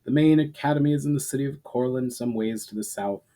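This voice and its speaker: A man's voice: mid-range voice, fast talker, dark tone, close to an everyday voice. Man voicing a stately, courteous fantasy commander. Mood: informative. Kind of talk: dramatic storybook reading.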